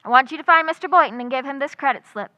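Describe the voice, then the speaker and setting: A woman acting a wry, quick-witted schoolteacher in old-time radio comedy, full of dry, sarcastic wisecracks. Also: high-pitched, pushed and bright, no echo. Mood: wry, playful.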